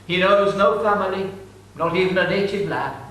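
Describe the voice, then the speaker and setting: A man's voice: sits high, normal speed, fairly bright tone. A man narrating an ancient epic in a declamatory, solemn dramatic reading. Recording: distant mic, echoey room.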